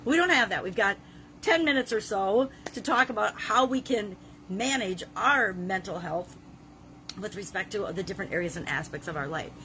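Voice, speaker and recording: Woman with a gravelly cold voice. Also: medium pitch, expressive, moderate pace, bright, forceful tone, a little hiss or hum, rough recording. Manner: earnest, conversational, with frequent restarts. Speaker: self-help coach leading a challenge. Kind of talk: motivational talk to camera.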